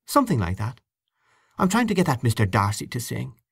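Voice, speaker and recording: A man voicing an excitable, warm-hearted young wife speaking lightly to her husband. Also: mid-range voice, fairly quick, vocal fry, breathy edge, no room sound, studio quality, voice pushed up, heavily acted. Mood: eager.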